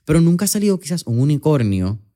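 A man's voice: a little high, very animated, fast talker, warm tone. A man hosting a podcast, framing his questions conversationally and thinking aloud. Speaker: podcast host. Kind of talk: casual interview conversation.

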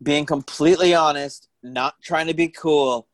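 A man's voice: fairly high pitch, steady tone, a little slow, no room sound. A man in his late thirties co-hosting a casual chat, joking and sardonic, filling his talk with "like". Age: 30s.

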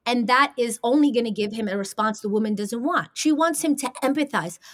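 A woman's voice: a little high, a little fast. A woman marriage coach talking on a podcast, telling stories and acting out what spouses say. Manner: animated storytelling, acting out quoted spouses. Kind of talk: podcast conversation.

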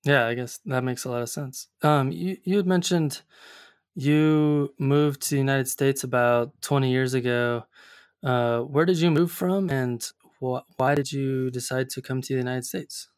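A podcast host asking questions in casual, halting talk with restarts. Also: medium pitch, smooth, no echo, studio quality.

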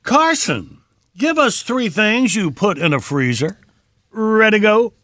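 High-voiced, laughing morning radio host. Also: male voice, very animated, a bit bright, slight background noise. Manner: laughs often, quick teasing delivery. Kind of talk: joking morning radio banter. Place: radio studio.

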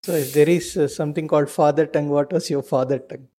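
A man's voice: on the high side, fairly even intonation, quick pace, warm tone. A man interviewing a guest, asking brief and direct questions.

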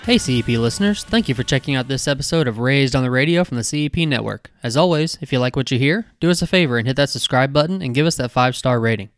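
Smooth-voiced man hosting a podcast. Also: medium pitch, lively intonation, fast, hardly any pauses, no echo, faint music behind. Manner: upbeat scripted intro, then joking banter. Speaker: podcast host. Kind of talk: casual podcast chat.

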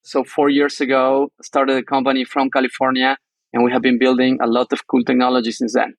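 A man's voice: mid-range voice, monotone, brisk, creaky, no room sound. A man from Argentina with an Argentine Spanish accent, explaining things as an interview guest. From northern Argentina. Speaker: startup co-founder, interview guest.

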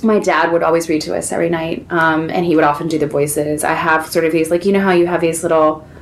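A woman's voice: deep voice, flat delivery, fast. A woman whose first language is Korean, chatting as an author guest in a casual interview, laughing often and restarting her sentences.

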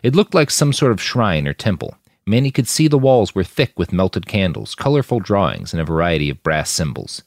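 A man reading dramatic fiction aloud in an expressive, emphatic storytelling manner. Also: low-pitched, a little fast, few pauses, dry recording, studio quality. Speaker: author narrating own fiction. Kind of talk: dramatic audiobook narration.

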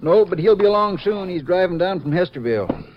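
An actor playing a plainspoken, cooperative small-town Texas sheriff with a hesitant, folksy manner, in 1950s radio style. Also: male voice, high-pitched, expressive, a little fast. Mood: earnest, puzzled. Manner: hesitant, plainspoken, drawling rural phrasing. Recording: muffled, noisy 1950s radio recording.

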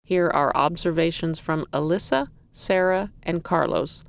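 Woman host with a gravelly low voice. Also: measured pace. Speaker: podcast host and reporter. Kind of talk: scripted narration and street interviews.

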